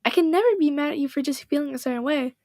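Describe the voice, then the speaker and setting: A calm, caring teenage girl who runs a club, voiced by a woman. Her voice sits high and soft, reassuring and earnest. A slight hoarseness shows through. The sound is dry and close. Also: very fast, no echo. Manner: gentle, reassuring. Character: poised, caring teen girl club president.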